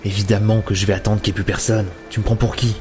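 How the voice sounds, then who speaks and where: A man's voice: on the low side, level tone, fast talker, over music, natural voice. An actor playing a grumpy, world-weary male adventurer in an audio drama, sardonic and muttering. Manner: grumbling, sardonic, muttering to himself. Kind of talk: French audio drama acting.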